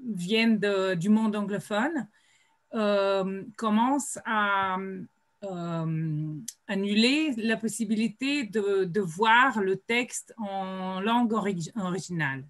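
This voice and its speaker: Woman, unhurried panelist on a video call, lots of "euh" pauses, mid-pitched. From Slovenia. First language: Slovene. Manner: hesitant, many drawn-out "euh" pauses. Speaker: writer at a colloquium panel. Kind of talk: panel discussion remarks.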